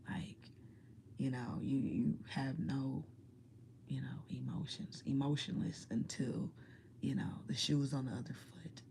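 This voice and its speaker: A woman telling a story to her viewers in a soft, low whisper, rambling and using casual slang. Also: gravelly. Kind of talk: whispered ASMR-style storytelling.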